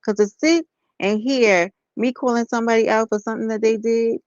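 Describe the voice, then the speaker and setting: A woman, slightly hoarse, talking softly and casually to viewers on a live stream from bed. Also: fairly high pitch, fairly even intonation, brisk, no echo, highs cut off. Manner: soft-spoken, talks straight to viewers as y'all and honey.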